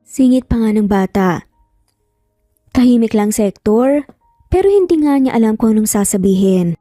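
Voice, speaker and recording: Mellow woman narrating a story. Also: a little high, animated, normal speed, no echo, studio quality, soft background music. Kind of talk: dramatized romance story narration.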